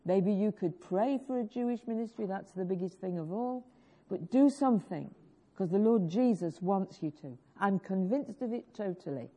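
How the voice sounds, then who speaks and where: A woman's voice: mid-range voice, animated, moderate pace, warm tone. A woman with a growly voice preaching a sermon, earnestly urging the congregation and coaxing them to join in. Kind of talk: sermon, teaching a worship song. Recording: dull lo-fi recording.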